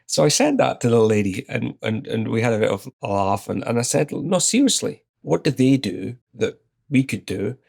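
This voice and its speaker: A man's voice: medium pitch, normal speed, gravelly, husky. A man in his fifties with a Scottish accent, an executive guest relaxedly telling an anecdote in an interview. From Scotland. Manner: relaxed anecdotal, retells his own exchanges. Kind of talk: conversational interview storytelling.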